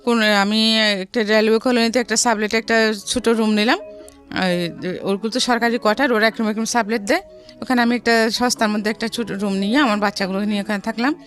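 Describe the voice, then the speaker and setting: A middle-aged woman with a Bangladeshi accent telling her life story in a studio interview, haltingly and often repeating phrases. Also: on the high side, steady tone, fairly bright tone. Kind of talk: personal storytelling in a radio interview. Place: radio studio. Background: background music.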